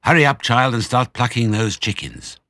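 A man's voice: mid-range voice, very animated, normal speed, sharp tone, no echo, pitched above the performer's own voice. A man voicing a frail, doting mother fox in a storybook, drawing out words. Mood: proud delight. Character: weak, doting mother fox, stirring to delight.

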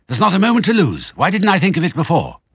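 A man's voice: sits high, wildly swooping pitch, quick pace, fairly bright tone, husky, dry recording, studio quality, pitched above the performer's own voice, heavily acted. A man voicing a crafty father fox in a storybook, exclaiming and giving orders in urgent, heroic bursts. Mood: excited, urgent.

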